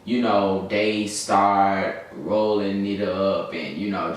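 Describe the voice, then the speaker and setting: A young man with a Southern US accent chats casually to camera as a vlogger, in a slangy, rambling, easily distracted way. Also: low voice. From Birmingham, Alabama, United States. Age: young adult. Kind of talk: casual story-time chat to camera. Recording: very echoey room, distant mic, faint noise.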